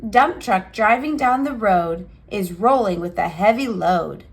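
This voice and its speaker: A woman reading a picture book aloud for young children in an expressive, cheerful and measured storytelling manner. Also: mid-range voice. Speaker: children's picture book reader and channel host.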